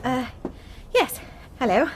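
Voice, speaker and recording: Wartime briefer, high voice, hesitant, earnest, polite, radio drama, faint background noise. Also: female voice, big pitch swings, exaggerated voice. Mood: nervous, polite. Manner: halting, polite.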